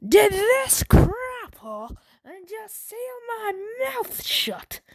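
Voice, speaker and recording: A woman voicing an angry, bossy schoolboy in a story, scolding slowly and emphatically with a put-on Irish accent. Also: very high-pitched, big pitch swings, darkish tone, no echo, voice pushed up, exaggerated voice. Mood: furious. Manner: indignant, scolding, slow emphatic delivery.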